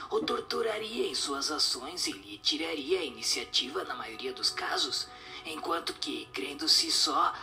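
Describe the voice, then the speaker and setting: A woman voicing a solemn, instructive spirit in a radio drama, with a breathy, whispery voice and formal delivery. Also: extremely low pitch, pushed and bright, heavily acted.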